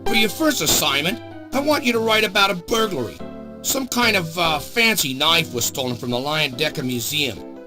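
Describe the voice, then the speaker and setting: An actor playing a gruff, blunt, middle-aged big-city newspaper boss in an adventure game, with music behind him. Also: male voice, on the high side, lively intonation, moderate pace, lightly acted. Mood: bossy. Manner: blunt, bossy, emphatic. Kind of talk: scripted adventure game acting. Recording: music under the voice.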